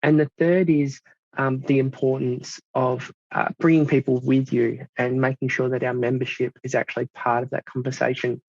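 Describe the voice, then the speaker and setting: Gravelly Australian man presenting. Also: mid-range voice, level tone, darkish tone, no echo. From South Australia. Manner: halting, many fillers. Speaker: club leader presenting. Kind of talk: presentation to a club audience. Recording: dull dry lo-fi sound.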